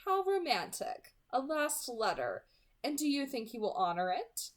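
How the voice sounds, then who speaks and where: A woman's voice: high-pitched, sing-song, a little slow, pitched above the performer's own voice. A woman reading aloud as a gloating, mockingly polite male vampire hunter in a storybook, with a genteel, sarcastic manner.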